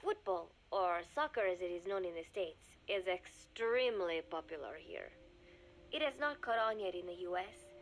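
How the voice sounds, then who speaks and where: An actress voicing an earnest young woman reading her diary aloud in a video game, calm and reflective. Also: a little high, a little hiss or hum, natural voice, poor audio. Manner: calm, reflective, softly spoken. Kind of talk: game audio diary narration.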